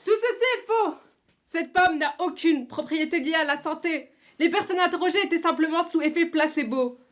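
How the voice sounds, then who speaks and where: A woman's voice: extremely high pitch, normal speed. A woman playing an earnest female spokesperson explaining things in an audio drama. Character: earnest young female activist or expert making revelations.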